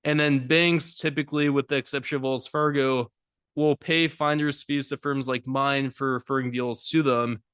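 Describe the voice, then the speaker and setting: A man speaking as a podcast guest, telling his career story in a brisk, businesslike way. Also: mid-range voice, fairly even intonation, fairly bright tone, clean tone, no echo, clean studio sound. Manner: brisk, businesslike, runs through lists. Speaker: podcast guest, lending advisor. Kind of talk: casual interview chat.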